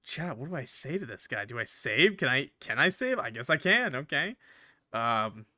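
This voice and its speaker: Bright-voiced man on a gaming stream. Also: mid-range voice, sing-song, normal speed, no room sound, clean studio sound. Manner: slangy, exclamatory reactions to chat. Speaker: streamer playing a visual novel. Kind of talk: casual gaming stream commentary.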